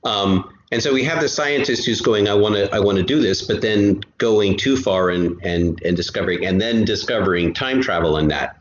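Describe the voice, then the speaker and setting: A man's voice: fairly low pitch, normal speed, hardly any pauses. A man guest in an interview, explaining earnestly and often repeating words as he goes. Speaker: guest, co-creator of an audio drama podcast. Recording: roomy, dull, noisy amateur recording.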